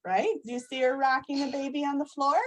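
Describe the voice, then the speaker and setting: A woman reading a children's story aloud in a gentle, repetitive storybook cadence. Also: sits high, fairly even intonation, fairly quick.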